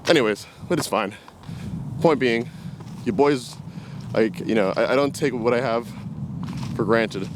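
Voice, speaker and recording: A man's voice: medium pitch, unhurried, pauses often, background noise. A man in his twenties talking casually and reflectively to camera, rambling and hesitating as he walks outdoors. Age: 20s. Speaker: vlogger. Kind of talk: casual unscripted talk to camera. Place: outdoors, walking in woods. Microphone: camera carried while walking.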